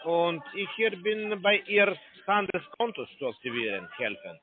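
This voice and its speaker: Foreign-accented man, broken halting german, fairly high voice, tinny phone line. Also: very animated, slightly rough, dry recording. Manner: broken halting German, many restarts and fillers. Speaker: scam call center agent. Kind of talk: sales pitch phone call.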